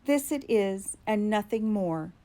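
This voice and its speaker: A woman's voice: medium pitch, expressive, measured pace, warm tone, close to an everyday voice. A woman voicing a grieving, nervous scholar alone at midnight in a theatrical, emphatic poetry reading. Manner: theatrical, emphatic, swooping upward.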